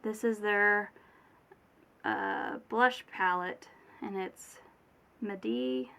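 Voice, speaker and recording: A bright-toned young woman with a cold talks loosely through a beauty unboxing, her voice a little off. She rambles, corrects herself and drifts into asides, in a relaxed, chatty way. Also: mid-range voice, very slow, smooth. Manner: chatty, rambling, many fillers and self-corrections. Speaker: beauty vlogger. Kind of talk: casual product unboxing chat.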